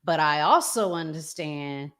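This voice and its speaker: A woman hosting a casual discussion, talking in a measured, deliberate way with pauses. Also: low-pitched, animated, a bit bright. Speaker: host.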